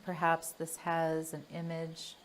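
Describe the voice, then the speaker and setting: A woman leading a guided meditation in a gentle, soothing manner, leaving long pauses between phrases. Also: deep voice, completely flat delivery, slight background noise.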